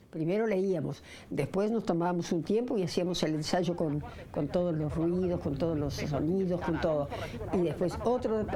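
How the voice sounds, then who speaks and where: A woman's voice: on the low side, dark tone. An older woman, a veteran actress, reminiscing emphatically and repeating phrases for stress. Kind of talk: reminiscing interview. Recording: noticeable background noise.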